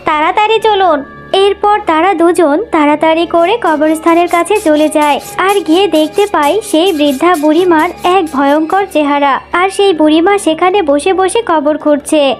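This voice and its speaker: A woman's voice: very high-pitched, moderate pace, faint music behind. A woman reading scripted narration for a cartoon animal story.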